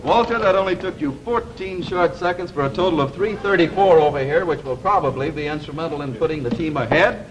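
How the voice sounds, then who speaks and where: A man's voice: on the high side, a little fast, hardly any pauses, poor audio. A man hosting a live 1950s television panel game show with wry banter, on a vintage soundtrack. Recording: vintage TV soundtrack with studio audience noise. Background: studio audience.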